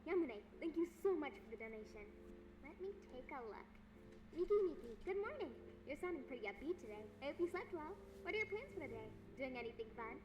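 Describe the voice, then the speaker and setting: A woman's voice: high-pitched, sing-song, fast, darkish tone, lo-fi sound. A woman playing an upbeat, caring young livestreamer chatting to her fans in an audio drama. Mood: cheerful, caring. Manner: chirpy, nurturing, chatty. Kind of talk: audio drama acting as streamer chat. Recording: clear background noise and ambience.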